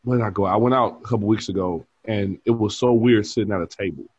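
A man's voice: fairly low pitch, quick pace, darkish tone, breathy edge, no room sound, lo-fi sound. A man in his forties, a bar manager, chatting casually as an interview guest and telling stories with frequent laughs. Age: 40s. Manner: easygoing storyteller who laughs often.

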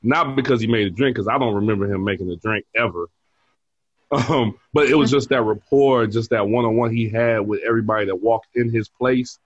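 A man's voice: medium pitch, fairly quick, slightly rough, no room sound, lo-fi sound. A man in his forties, a bar manager, chatting casually as an interview guest and telling stories with frequent laughs. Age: 40s. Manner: easygoing storyteller who laughs often.

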